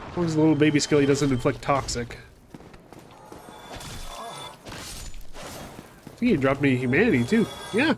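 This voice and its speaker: A man's voice: on the high side, sing-song, a little slow, pauses often, soft background music. A man with a cold giving casual, chatty commentary over his gameplay, swearing now and then and talking to enemies on screen. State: fighting a cold. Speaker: gaming channel host. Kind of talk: casual let's-play commentary.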